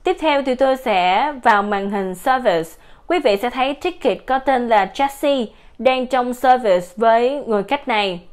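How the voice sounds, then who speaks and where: A woman giving a steady, explanatory voice-over for a software product demo. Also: on the high side.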